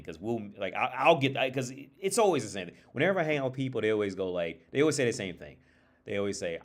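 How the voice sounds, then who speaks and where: Low-voiced man rambling casually on a livestream. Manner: profane, informal, repeats and restarts phrases often. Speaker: livestream host.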